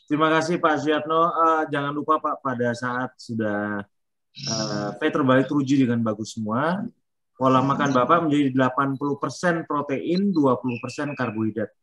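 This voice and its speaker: A man's voice: mid-range voice, normal speed, a bit bright. A man hosting an online testimonial session over a video call, speaking politely and encouragingly with many fillers. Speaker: program mentor hosting testimonials.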